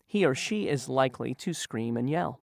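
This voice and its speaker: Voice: mid-range voice, expressive, moderate pace, gravelly, a little mellow, no echo, clean studio sound. A narrator asking learners review questions about word meanings in a patient, teacherly way. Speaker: quiz reviewer in a vocabulary lesson. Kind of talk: slow scripted quiz questions for learners.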